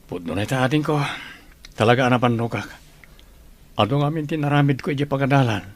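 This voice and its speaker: A man's voice: mid-range voice, fast talker, natural voice. A man with an Ilocano accent playing a patient, humble schoolteacher in an audio drama. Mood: earnest. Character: earnest, patient male schoolteacher, humble and hardworking. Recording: clearly noisy background.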